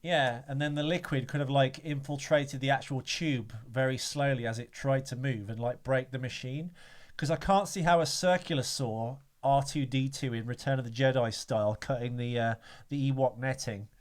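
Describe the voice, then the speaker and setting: Guy on a podcast, mid-pitch, rambling along, keeps restarting his sentences and swearing casually. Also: fairly even intonation, moderate pace. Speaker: podcast co-host. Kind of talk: casual film discussion.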